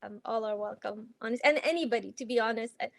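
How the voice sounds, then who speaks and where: A woman who grew up in Afghanistan, a healer guest in an interview, talking earnestly with many pauses, fillers and restarts. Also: a little high, creaky, no room sound, clean studio sound. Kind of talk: casual interview conversation.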